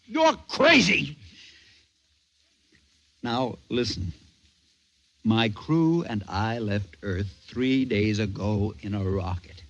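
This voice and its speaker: A man's voice: on the low side, big pitch swings, slow pace, pauses often, a bit bright, lo-fi sound, heavily acted. An actor playing an exasperated, commanding rocket captain who insists on his sanity, in old-time radio drama style. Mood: desperate. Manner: commanding, indignant, emphatic.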